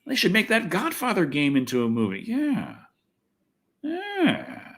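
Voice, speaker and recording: A man's voice: high-pitched, huge pitch swings, unhurried, a bit bright. An American man from Texas hosting a casual, rambling livestream, thinking aloud, swearing freely and poking fun at himself. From Texas, United States. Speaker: livestream host and video reviewer. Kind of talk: casual rambling livestream chat answering viewers.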